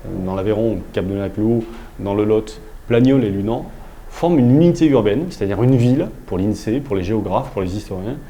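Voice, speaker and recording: A man, a local official, explaining in a public presentation style with halting, pause-filled delivery. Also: on the low side, lively intonation, mellow, a little hiss or hum.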